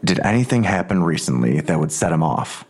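Low, husky police detective. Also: male voice, big pitch swings, brisk, darkish tone, exaggerated voice. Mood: terse, probing. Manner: clipped questioning. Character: gruff veteran male police detective, terse and probing. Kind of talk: audio drama dialogue.

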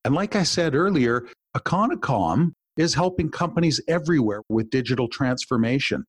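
A man's voice: medium pitch, animated, normal speed, breathy edge, no echo, clean studio sound. A male podcast host and executive coach, enthusiastic, asking long, wind-up questions of his guest. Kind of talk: podcast interview.

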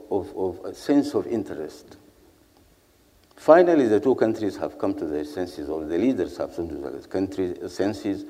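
A man's voice: sits low, mellow. A man gives an earnest panel speech, building his points through repeated lists of phrases. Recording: hall with long reverb.

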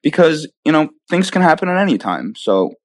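A man's voice: fairly high pitch, sing-song, a little fast. A young man answering interview questions casually and enthusiastically, often checking in with "right?" and "you know". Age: young adult. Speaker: interview guest, tech startup founder.

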